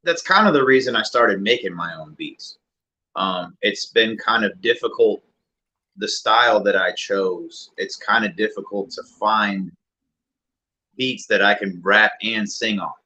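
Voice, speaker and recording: A man, a music producer and rapper, talking casually and reflectively as an interview guest in a deeper mid-range voice. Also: a little slow, rough recording. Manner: relaxed, reflective, many fillers.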